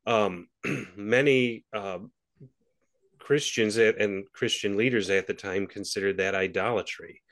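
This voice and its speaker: A man giving a measured explanation, clearing his throat now and then and restarting phrases. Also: lowish voice, a bit bright. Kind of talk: thoughtful explanation.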